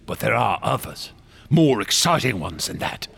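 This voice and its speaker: A man's voice: fairly low pitch, very animated, normal speed, vocal fry, fairly bright tone, husky, heavily acted. An actor playing a passionate, secretive artist and explorer in a radio drama, telling his tales in a grand theatrical way. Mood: fervent storytelling. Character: passionate, secretive male artist and explorer obsessed with ancient gods.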